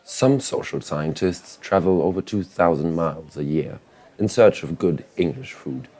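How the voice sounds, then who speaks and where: Deep-voiced solemn nature documentary narrator, played by a man. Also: lively intonation, measured pace, mellow. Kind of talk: scripted documentary narration parody.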